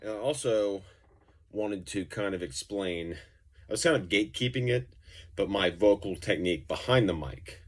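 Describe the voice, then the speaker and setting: A man with a low-pitched voice talks through gear in a loose, informal way. He sounds like a musician doing an unscripted demo, rambling as he goes. There is some light background noise with occasional clicking. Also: animated, normal speed. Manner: informal, rambling, mildly profane. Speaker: musician demonstrating effects pedals. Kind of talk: casual gear review, off-the-cuff demonstration.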